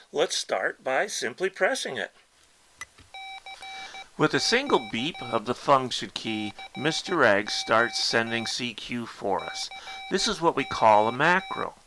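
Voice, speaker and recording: A man with a bright, forward voice leads a hands-on tutorial. His mid-range pitch rises and falls in an animated way. He explains things casually and patiently, one simple step at a time. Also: low-quality recording. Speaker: instructor and presenter demonstrating a ham radio keyer.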